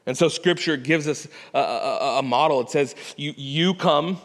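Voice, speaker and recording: A man's voice: a little high, normal speed. A man preaching a sermon as pastor, earnest and conversational, often restarting phrases and checking in with "right?". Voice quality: loud voice. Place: church sanctuary. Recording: hall reverb.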